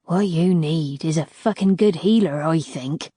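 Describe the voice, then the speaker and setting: A low, gravelly old crone of the desert, coarse and wry, who curses freely and drawls her words slowly, as a woman narrator puts her on. She sounds very old and weathered, and the recording is dry and close. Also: darkish tone, no room sound, full character voice. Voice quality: deep, gravelly. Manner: swears freely, blunt.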